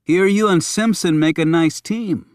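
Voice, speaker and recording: A man's voice: sits high, measured pace, dry recording, studio quality, pitched above the performer's own voice. A man voicing a teasing male agent who jokes with a colleague in an audiobook. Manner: teasing, joking.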